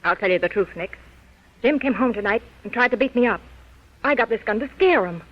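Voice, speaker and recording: A wary gangster's moll, muffled radio. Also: female voice, a little high, expressive, brisk. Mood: bitter, guarded. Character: wary, bitter gangster's moll, female, scornful and hard-bitten. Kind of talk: old-time radio crime drama acting. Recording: muffled vintage radio recording.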